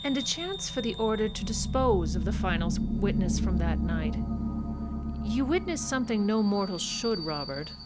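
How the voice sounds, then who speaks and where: A woman's voice: mid-range voice, sing-song, natural voice. A woman playing a cold, cultured, condescending female vampire in a radio drama. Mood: cold, menacing. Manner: measured, condescending, theatrical. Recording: loud music under the voice.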